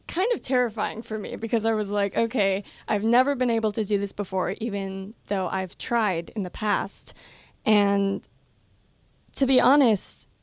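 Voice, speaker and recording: Slow, hesitant young woman singer. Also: mid-range voice, dry recording. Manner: hesitant, candid. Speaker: actress and singer. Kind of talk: casual interview answer.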